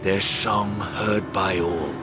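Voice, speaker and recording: Game role: proud, stern fighter; measured, unhurried lines over prominent score. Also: male voice, low-pitched, steady tone, loud music behind the voice. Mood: grave. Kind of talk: video game cutscene acting.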